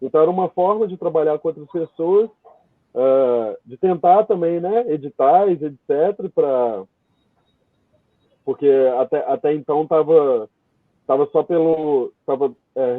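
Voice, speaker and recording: Casting: male interview guest, Brazilian Portuguese accent, higher-pitched, halting and meandering, narrow phone-like sound. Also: no echo. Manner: halting, meandering, thinking aloud. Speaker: guest, theatre writer and director. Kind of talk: informal interview answer. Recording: thin, band-limited call audio, dry.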